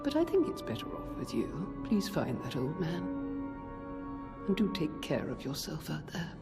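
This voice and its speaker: A woman playing a gracious, composed older hotel owner in a video game, measured and wry. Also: low voice, sing-song, loud music behind the voice, heavily acted. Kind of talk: video game character acting.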